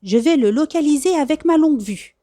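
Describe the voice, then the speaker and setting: A woman voicing an excitable, suspicious male animal adventurer in a children's storybook, speaking in eager exclamations. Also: high voice, brisk, dry recording, clean studio sound, voice pushed up. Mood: determined.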